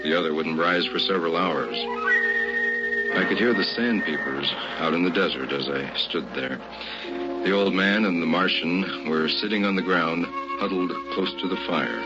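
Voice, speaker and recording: A man's voice: sits low, moderate pace, close to an everyday voice. An actor playing a grown man soberly recalling his boyhood, as narrator of an old-time radio drama. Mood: sober, reflective. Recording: muffled old radio recording with loud music. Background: loud music.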